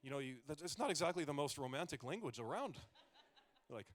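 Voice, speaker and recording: A man's voice: fairly high pitch, expressive, a little fast. A man in his forties, a comedian giving a casual talk with joking asides and rambling restarts. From United States. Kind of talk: conversational stage talk.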